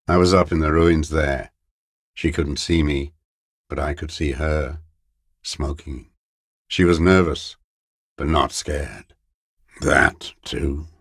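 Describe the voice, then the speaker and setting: A man playing a bitter, hateful old communist deserter in a video game, brooding and contemptuous, with a gravelly, raspy voice and halting delivery. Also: a bass voice, a bit bright, dry recording, studio quality, full character voice. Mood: obsessive, brooding. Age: older. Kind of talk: video game character monologue.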